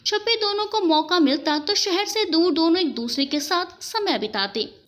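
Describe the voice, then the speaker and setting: A woman's voice: very high-pitched, lively intonation, normal speed, fairly bright tone. A woman narrating a scripted story as a storyteller.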